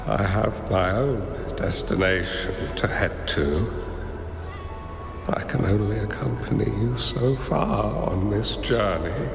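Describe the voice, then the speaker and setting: A man playing a wise, solemn old master in a video game, counseling a young pupil in a measured way. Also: on the low side, gravelly, heavily acted. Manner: slow, measured, solemn and gently instructive. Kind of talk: dramatic video game voice acting. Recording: echoey reverberant hall, music underneath. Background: music.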